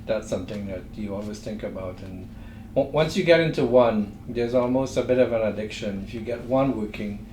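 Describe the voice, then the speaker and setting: A middle-aged man with a Trinidadian accent, a guest speaking in a thoughtful, measured way with frequent pauses. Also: fairly low pitch, a little mellow. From Trinidad and Tobago. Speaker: guest entrepreneur. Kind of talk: interview conversation. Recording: echoey room with faint noise.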